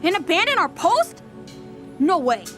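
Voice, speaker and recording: A woman acts a young swordsman boy for an anime-style audio drama. The voice is high and lively, yet casual and unflappable, brushing things off lightly. Faint music sits behind it. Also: a little slow, heavily acted. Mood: brisk, scolding.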